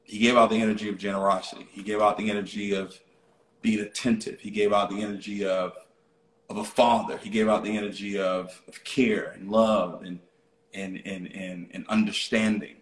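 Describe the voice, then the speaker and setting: A man's voice: fairly low pitch, flat delivery, moderate pace. A man hosting a live online motivational talk, repeating key phrases, asking rhetorical questions and checking in with his listeners often. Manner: repetitive, rhetorical questions, frequent "all right?" check-ins. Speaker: motivational speaker, live stream host. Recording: roomy, echoey sound.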